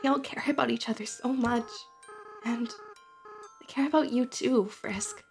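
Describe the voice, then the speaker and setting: A woman voicing a remorseful young goat monster boy who speaks softly and pleads, close to tears. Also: high voice, sing-song, measured pace, soft background music, heavily acted. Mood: tender. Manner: soft, pleading, near tears. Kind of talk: fan voice-over of a game.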